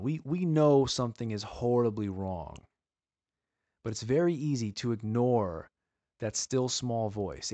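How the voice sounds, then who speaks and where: A man's voice: on the low side, a little mellow, no room sound. Earnest man preaching in a dry voice. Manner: earnest, pleading rhetorical questions. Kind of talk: earnest sermon-style teaching.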